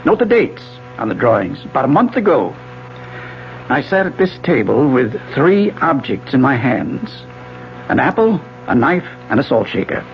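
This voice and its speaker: An actor playing a suave, condescending middle-aged husband and researcher in a 1970s radio drama, talking in a polished, lecturing way. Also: male voice, medium pitch, very animated, moderate pace, faint music behind. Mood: intent, lecturing. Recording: muffled 1970s AM radio broadcast.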